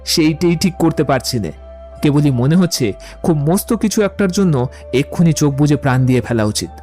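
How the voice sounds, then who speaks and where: Witty, eloquent young romantic man for audio drama, male actor, theatrical, lively swings in pitch, soft music behind. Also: moderate pace, natural voice. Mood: playful bravado. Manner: eloquent, playful, declamatory. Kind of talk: dramatised audiobook dialogue acting.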